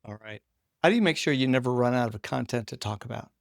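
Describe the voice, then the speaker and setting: A man's voice: medium pitch, fast, vocal fry, no echo, studio quality. A man hosting a conversational podcast interview, asking questions and commenting informally on the answers.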